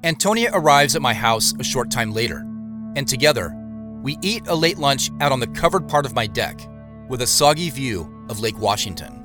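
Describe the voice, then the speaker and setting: Male lead played by an actor narrating an audio drama in first person, measured and wary, lively pitch over guitar music. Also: close to an everyday voice. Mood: reflective, measured. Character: male narrator recounting his own strange week, measured and wary. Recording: music behind the voice.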